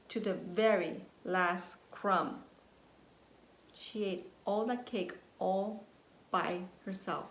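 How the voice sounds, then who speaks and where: A woman nursery teacher reading a story aloud to young children in an expressive, deliberate way, prompting them with questions. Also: mid-range voice. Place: indoors at home. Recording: roomy echo, faint background noise, home recording.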